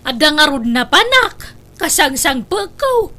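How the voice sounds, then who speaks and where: A woman with an Ilocano accent acting in a comedy drama, talking in a sharp-tongued, defensive way. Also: sits high, sing-song, a little slow. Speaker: drama voice actor, daughter-in-law caring for an old mother.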